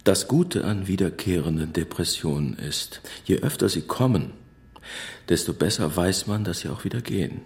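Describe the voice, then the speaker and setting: A man's voice: low-pitched, expressive, moderate pace, darkish tone, a little hiss or hum. A man playing a reflective male writer in a scripted radio play. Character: male writer sharing consoling wisdom about himself.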